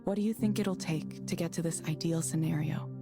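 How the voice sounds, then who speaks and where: A woman playing a reserved counselling proxy in a video game, speaking in a measured, neutral, detached way. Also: low-pitched, creaky. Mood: calm, scripted. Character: composed, reserved woman reading an AI counsellor's script. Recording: music behind the voice.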